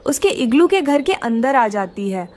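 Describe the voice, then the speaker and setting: A woman's voice: on the high side, sing-song, moderate pace, a little mellow. A woman giving scripted narration for a children's cartoon story.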